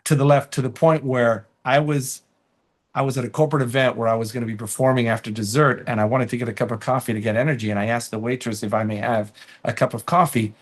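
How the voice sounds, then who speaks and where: A man with a medium-pitched voice talks casually as an interview guest. He tells anecdotes like a comedian, acting out bits of dialogue and repeating words as he goes. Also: fairly even intonation, fast talker.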